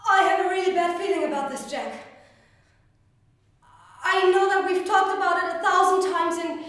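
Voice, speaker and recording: Woman acting an anxious, high-pitched pleading lover, echoey. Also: lively intonation, moderate pace, close to an everyday voice. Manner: earnest, pleading, emotional. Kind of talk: dramatic audition monologue. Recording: very echoey room, faint noise.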